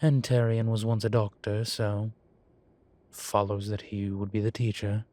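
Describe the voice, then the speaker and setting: A man voices a centuries-old demon professor for a roleplay audio. The voice sits on the low side and moves very slowly, in a close, dry recording. He is genteel, droll and proud, explaining things patiently like a seasoned lecturer. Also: little pitch movement, no echo, natural voice. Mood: patient lecturing. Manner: unhurried, genteel, gently lecturing. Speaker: professor talking with a student. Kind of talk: scripted roleplay audio, one-sided conversation.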